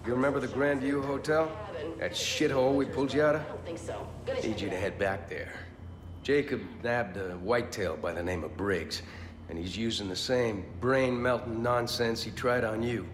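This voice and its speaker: An actor playing a grim, principled militia leader in a video game, briefing bluntly and tersely. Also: male voice, medium pitch, moderate pace, echoey room, long reverb tail, a little hiss or hum, close to an everyday voice. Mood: urgent. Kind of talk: video game cutscene acting.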